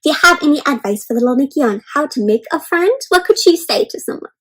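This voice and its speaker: High-pitched woman reading to young children. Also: fast. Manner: addresses young listeners with questions and commentary; expressive, encouraging teacher-like delivery. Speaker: children's read-aloud host. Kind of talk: interactive storybook read-aloud for young children.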